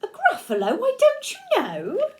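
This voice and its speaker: A grandmother voicing a small, quick-witted brown mouse in a storybook reading. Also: on the high side, sing-song, slight background noise. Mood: boastful.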